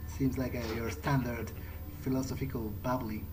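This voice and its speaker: A man's voice: mid-range voice, little pitch movement, moderate pace, poor audio. A man giving casual, improvised video game commentary in a hesitant, wry, thinking-aloud manner. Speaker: let's play streamer playing in character. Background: game music.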